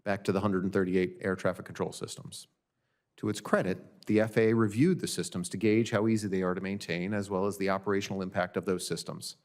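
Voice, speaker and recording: A man reading a prepared formal statement as an expert witness at a government hearing, in a measured, matter-of-fact way. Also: low voice. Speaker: government audit director testifying as a witness. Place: legislative hearing room.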